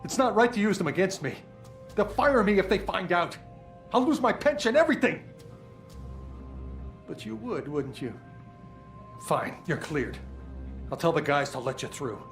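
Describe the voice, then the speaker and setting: A man's voice: high-pitched, very animated, moderate pace, long pauses, a bit bright, faint music behind, full character voice. A man voicing a bitter, demoted male police desk sergeant in a game, talking defensively. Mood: desperate. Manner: defensive, resentful.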